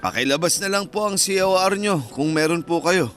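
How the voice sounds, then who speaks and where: Male security guard, firm, polite, rule-bound, somewhat high voice, Filipino radio drama. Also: brisk, fairly bright tone, faint music behind. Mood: skeptical.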